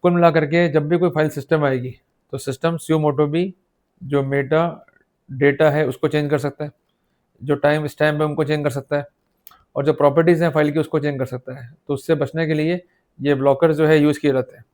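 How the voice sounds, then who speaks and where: A man's voice: on the high side, flat delivery, brisk, darkish tone. A man with an Indian accent teaching law in an explanatory, didactic lecture style. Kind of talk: explanatory legal lecture mixing Hindi and English.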